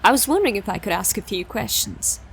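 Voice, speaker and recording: A woman's voice: mid-range voice, big pitch swings, quick pace, creaky, breathy edge, heavily acted. A woman playing a polite, determined young detective in a sci-fi audio drama. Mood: eager, probing.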